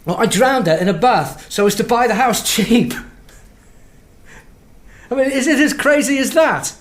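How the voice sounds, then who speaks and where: A man's voice: very high voice, normal speed. A man acting in a naturalistic radio drama, playing an irritable, sleepless young husband who is sarcastic, brittle and on edge. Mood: agitated, sardonic. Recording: roomy sound with faint background noise.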